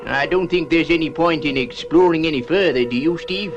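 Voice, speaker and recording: An actor playing a hesitant elderly professor aboard a spaceship in a vintage science fiction puppet series, speaking haltingly with many uhs. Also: male voice, highs cut off, lightly acted. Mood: businesslike. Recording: vintage TV soundtrack, loud music and engine rumble.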